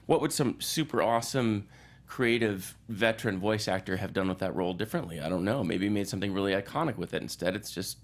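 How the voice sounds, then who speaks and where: A man's voice: lowish voice, fast. A man chatting casually as a panelist on a film show, opinionated, dry and offhand.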